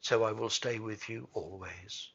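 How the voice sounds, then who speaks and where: A man voicing a devoted, gentle male swallow in a storybook reading, speaking earnestly and pleading softly. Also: on the low side, steady tone, measured pace, gravelly, slightly rough, highs cut off, exaggerated voice. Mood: tender.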